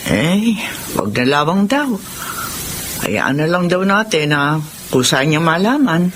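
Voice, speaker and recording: A woman's voice: low-pitched, big pitch swings, takes it slowly, slight background noise, exaggerated voice. Earnest Filipino family woman. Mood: calm, hopeful. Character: loving mother, or repentant grown daughter. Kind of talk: Filipino radio drama acting.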